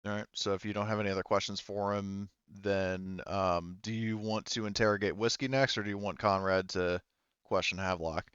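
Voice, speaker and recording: A man improvising as game master of a tabletop roleplaying game, halting as he searches for words and swearing casually. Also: fairly low pitch, steady tone, a bit bright, clear, resonant tone, no echo, highs cut off. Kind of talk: improvised narration of a tabletop roleplaying game, relaying characters' replies.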